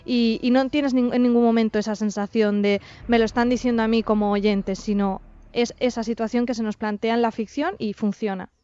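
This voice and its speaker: A woman's voice: fairly high pitch, steady tone, fairly quick, warm tone, dull audio. A woman with a Castilian Spanish accent giving her opinions in a casual panel discussion.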